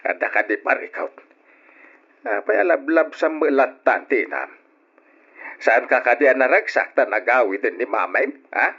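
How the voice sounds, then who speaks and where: Animated old Filipino grandpa, muffled recording. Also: male voice, medium pitch, moderate pace, gravelly, slightly rough, exaggerated voice. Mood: scolding. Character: hearty elderly grandfather, head of the household. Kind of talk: old radio drama acting.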